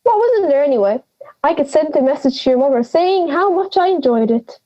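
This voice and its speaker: A woman's voice: high voice, expressive, brisk, muffled recording. A young woman playing a cranky, forgetful old granny in an audio drama, brusque, sarcastic and theatrical. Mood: indignant. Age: young adult.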